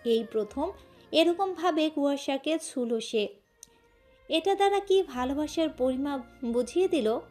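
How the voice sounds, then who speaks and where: A woman narrates a romantic audio story from a script. Her voice sits high in pitch. Faint music plays under the narration. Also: expressive, unhurried, a little mellow.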